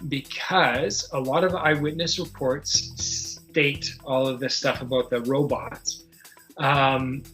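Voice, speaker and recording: A man working as game master of a tabletop superhero game, improvising narration and explaining rules in a halting way, searching for his words. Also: fairly high pitch, a bit bright, rough recording.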